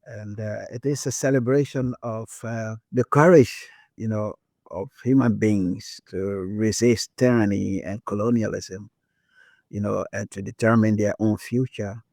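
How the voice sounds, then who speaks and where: A man from Liberia, an author being interviewed, speaking thoughtfully with frequent pauses and fillers. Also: lowish voice, steady tone, vocal fry, darkish tone, dry recording, studio quality. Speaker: author guest. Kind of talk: reflective interview talk.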